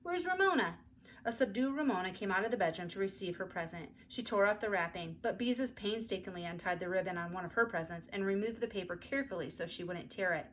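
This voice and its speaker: A woman narrating a children's story aloud in an expressive storytelling style, with faint noise behind her. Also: medium pitch, quick pace, hardly any pauses, rough recording.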